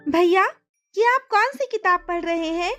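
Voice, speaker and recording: A woman's voice: extremely high pitch, unhurried, fairly bright tone, faint music behind, voice pushed up. A woman voicing a curious, innocent seven-year-old princess with a childlike, pleading manner in a cartoon story. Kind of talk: cartoon moral story acting.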